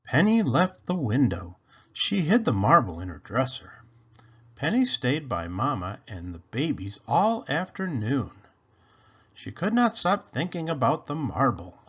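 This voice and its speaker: Animated, husky man reading a children's story. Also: medium pitch, dull audio. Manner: animated, deliberate storytelling delivery. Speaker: storybook read-aloud host. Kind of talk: expressive children's story narration.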